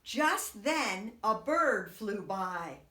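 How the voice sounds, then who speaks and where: A woman reads a children's story like a preschool teacher, with bright, very lively swoops in pitch. Her delivery is slow and expressive, made for small listeners. The room around her is echoey. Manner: expressive, dramatic whispers and swoops. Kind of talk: animated read-aloud storytelling for young children.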